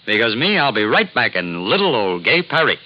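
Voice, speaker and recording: A man's voice: mid-range voice, very animated, a little fast, close to an everyday voice. A male actor playing a surly, nervous, grasping American of about thirty in an old radio drama, talking in a cagey, slangy way. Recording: dull old radio recording.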